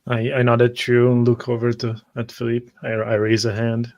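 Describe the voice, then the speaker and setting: A man's voice: mid-range voice, completely flat delivery, moderate pace, darkish tone, dull audio. A man playing a tabletop roleplay game, narrating his actions in first person and often repeating words hesitantly.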